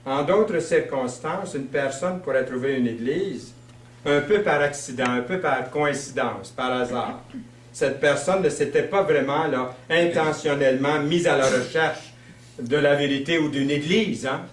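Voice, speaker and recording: A man's voice: on the high side, slight background noise. A man from Quebec with a Québécois French accent, preaching a sermon in a conversational, storytelling way. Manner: conversational, storytelling, with fillers and slow emphasis. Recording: roomy sound, mic at a distance.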